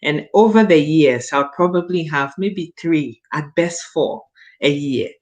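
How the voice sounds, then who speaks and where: A woman's voice: low voice, a little slow, a little mellow. A woman in her late thirties giving a conversational personal testimonial as a guest, stressing her points emphatically with repeated phrases. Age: late 30s.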